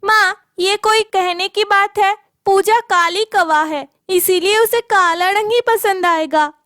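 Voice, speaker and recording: A woman voicing a mean, mocking village girl in a Hindi animated moral story. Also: very high-pitched, slow pace, dry recording, clean studio sound, exaggerated voice. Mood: scornful. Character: mean, taunting village girls.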